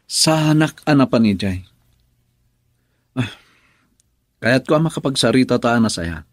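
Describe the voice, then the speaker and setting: A man's voice: medium pitch, lively intonation, unhurried, no room sound, studio quality. A man acting a defensive, troubled husband in an emotive Ilocano radio drama. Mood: defensive, pleading.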